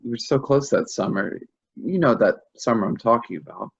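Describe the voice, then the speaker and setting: A man acting a shaken, confused husband in grief in a staged play reading, speaking haltingly and repeating himself. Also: mid-range voice, expressive, gravelly, breathy edge, muffled recording. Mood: wistful. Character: shaken, confused husband grieving a lost pregnancy.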